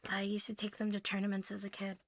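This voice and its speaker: A woman with a soft, airy voice rambles through an ASMR show-and-tell. She often drops toward a whisper and keeps a cute, playful catgirl manner as she chats to viewers close to the mic. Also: medium pitch, completely flat delivery, quick pace. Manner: playful, cutesy catgirl persona, talking to viewers. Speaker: ASMR host.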